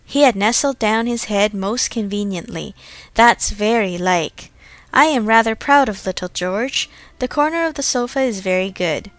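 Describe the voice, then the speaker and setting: A woman's voice: medium pitch, moderate pace, fairly bright tone, dry recording, lightly acted. A woman voicing a genteel, chatty, self-satisfied young lady of Regency society in a storybook reading. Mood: proud, chatty.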